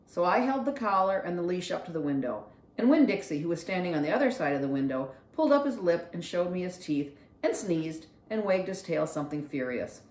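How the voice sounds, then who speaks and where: A woman reads a storybook aloud as a library read-along. Her voice sits a little low and moves with highly expressive intonation. The recording has a noticeable room echo. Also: a little fast. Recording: roomy echo.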